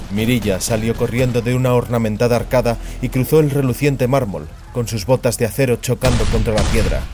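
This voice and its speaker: Un hombre que narra un relato dramático con guion, como narrador de audioficción. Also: male voice, medium pitch. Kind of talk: scripted dramatic story narration.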